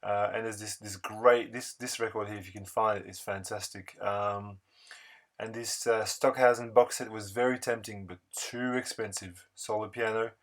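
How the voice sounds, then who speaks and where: A man narrating a casual vlog voice-over in a halting, unscripted, rambling way. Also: on the low side, fairly even intonation, fairly bright tone. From France. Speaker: record-collecting video blogger.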